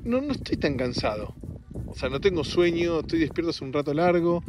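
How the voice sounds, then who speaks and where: Casting: Argentine male podcast host, middle-aged, fairly high slightly raspy voice, loose joking monologue, faint music. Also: very animated, brisk. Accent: Argentine Spanish. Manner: self-deprecating, joking. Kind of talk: casual rambling solo podcast monologue. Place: outdoors on a street.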